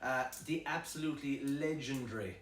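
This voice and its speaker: A man's voice: medium pitch, normal speed. A man with an Irish accent hosting a casual chat interview with jokey banter. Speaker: interviewer, host. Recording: echoey room, distant mic.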